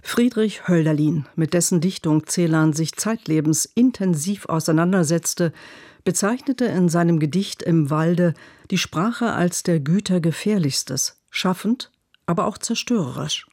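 A woman's voice: fairly low pitch, a little slow, a little mellow, no room sound, studio quality. A woman narrating a scripted radio book review in a composed, literary manner. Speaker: literary critic.

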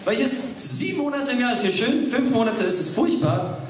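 A man's voice: very high voice, animated, fairly quick. A man in his thirties doing a stand-up comedy routine on stage, telling stories in a casual, slangy way. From Wales. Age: 30s. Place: live stage before an audience. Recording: echoey, distant stage sound, loud noise.

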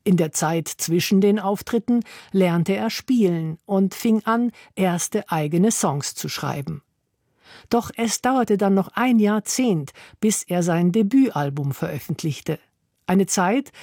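A woman narrates a scripted radio feature in a measured, even read. Her voice sits a little low and sounds mellow and warm. The delivery is polished and steady. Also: no echo, clean studio sound. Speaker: radio presenter.

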